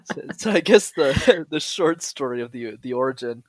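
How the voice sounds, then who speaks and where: A young man from Canada, a guest on a podcast, explaining public speaking in a lively, joking way. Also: medium pitch, moderate pace, no echo. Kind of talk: casual podcast interview.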